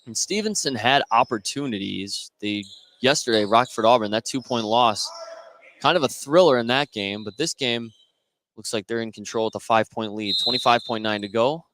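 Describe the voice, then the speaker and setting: An American man does live basketball play-by-play at a medium pitch. He is courtside in a gym, with clear background noise and bouncing balls around his voice. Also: moderate pace, a bit bright. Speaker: play-by-play sports announcer. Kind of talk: live basketball radio commentary.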